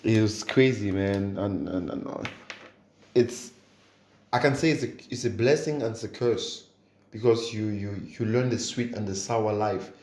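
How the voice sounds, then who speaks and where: A man from Cameroon and Germany, chatting casually and haltingly on a podcast, repeating words and saying "man". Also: lowish voice, darkish tone. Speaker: podcast speaker. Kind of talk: casual chat. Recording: roomy, echoey podcast recording.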